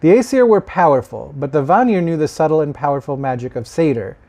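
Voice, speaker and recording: Man, slightly high pitch, soft mellow tone, informal storytelling, wry conversational delivery. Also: lively intonation, fairly quick.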